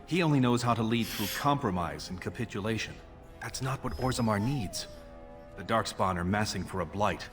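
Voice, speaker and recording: A low-voiced, ambitious dwarf prince played by a man addresses his listener with formal, commanding resolve. It is fantasy game dialogue, with music underneath. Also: moderate pace, a bit bright, close to an everyday voice. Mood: resolute, urgent. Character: ambitious, authoritative dwarf prince seeking the throne. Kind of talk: video game character dialogue.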